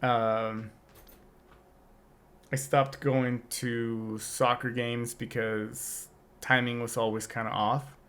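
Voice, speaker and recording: A man's voice: mid-range voice, level tone, slow pace, fairly bright tone, smooth. Rambling, reflective young man. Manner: reflective, rambling with many restarts. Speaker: podcast co-host. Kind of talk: casual chat.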